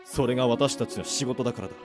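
A man playing a formal, measured, authoritative prison warden in a radio drama. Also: mid-range voice, over music, close to an everyday voice. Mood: cool, stern. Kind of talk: amateur radio drama acting.

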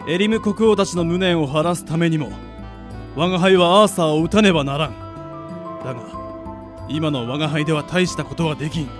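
A man plays a proud, ancient demon lord in an anime-style radio drama. His voice sits fairly high for a male, declaiming in grand archaic phrases, with music clearly under it. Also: a little fast, lightly acted. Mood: grave, resolute.

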